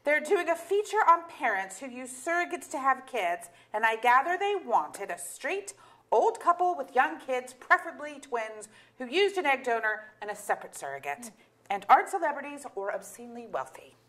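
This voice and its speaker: A woman's voice: sits high, big pitch swings, normal speed, fairly bright tone. A woman playing a wry, sardonic middle-aged wife and mother in a staged play reading, with dry, hesitant asides. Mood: dry, evasive.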